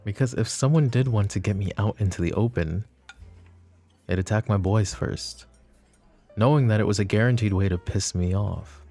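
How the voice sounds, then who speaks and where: A smug mafia boss, acted by a man in a romantic audio roleplay, with a low, gravelly voice. He is flirty and possessive, teasing suavely and taking his time between phrases. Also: mellow. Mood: wry, reflective. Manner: teasing, suave, unhurried with pauses. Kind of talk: romantic ASMR roleplay acting.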